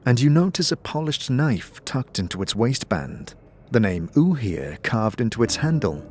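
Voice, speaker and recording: A man's voice: mid-range voice, big pitch swings, normal speed, a little mellow. A man narrating a scripted fantasy audio drama in the second person, with dramatic, descriptive storytelling. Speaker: narrator and game master.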